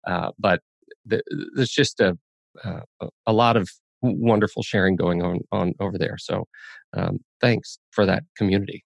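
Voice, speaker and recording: A man hosting a podcast in a casual, chatty way, expressive and playfully dramatic in his emphasis. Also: deep voice, normal speed, creaky, dry recording. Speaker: podcast host.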